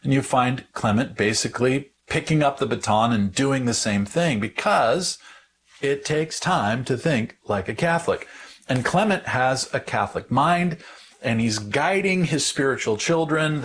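Husky-voiced man teaching in conversation. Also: medium pitch. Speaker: podcast panelist.

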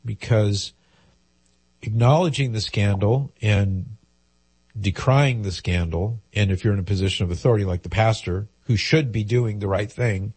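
Man, radio call-in host, slightly nasal from a cold, husky, low-pitched, dry studio sound. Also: normal speed, darkish tone, no echo, poor audio. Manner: conversational, explains at length with fillers. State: head cold, a little nasal.

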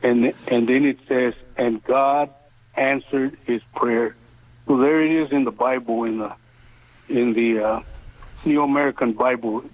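A man's voice: mid-range voice, fairly even intonation, a little slow. Older man on a tinny phone line. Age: 70s. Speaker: radio show caller. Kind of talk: earnest phone-in testimony and prayer request. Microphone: telephone.

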